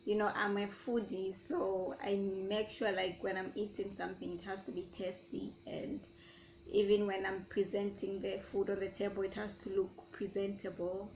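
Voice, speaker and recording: A woman's voice: medium pitch, flat delivery, normal speed, noisy background. A young Zambian woman with a Zambian accent, chatting casually as she answers her own questions in a vlog. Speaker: YouTuber.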